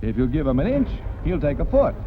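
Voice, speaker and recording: Animated, high-pitched 1940s American newsreel man. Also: a little fast. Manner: jaunty, wisecracking, punchy showman delivery. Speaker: newsreel narrator. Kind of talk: 1940s newsreel commentary, scripted. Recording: dull vintage newsreel soundtrack with music. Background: background music.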